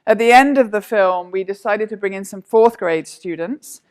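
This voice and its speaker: A woman university lecturer, calmly introducing a short film to an audience and then interviewing people. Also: medium pitch, moderate pace, clean tone.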